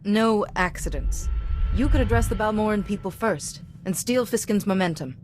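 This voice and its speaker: A woman playing a composed, diplomatic Jedi Master in a video game, speaking in a measured, formal, reassuring way. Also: mid-range voice, a little mellow, a little hiss or hum, lightly acted. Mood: reassuring, advising. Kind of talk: cinematic video game voice acting.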